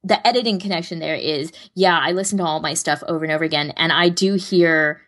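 A woman with a notably low voice chats as a podcast co-host. She speaks in an informal, conversational manner with a self-deprecating streak. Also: quick pace, fairly bright tone. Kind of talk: casual chat.

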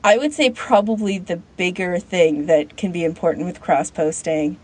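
A woman's voice: lowish voice, moderate pace, a little mellow, slightly rough. A woman co-hosting a podcast, talking casually and conversationally with frequent filler "like". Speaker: podcast co-host.

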